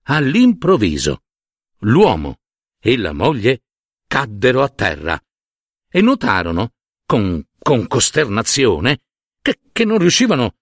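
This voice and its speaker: A man narrating a fairy tale expressively as a storyteller, with dramatic, deliberate pauses. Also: medium pitch, wildly swooping pitch, dry recording, studio quality.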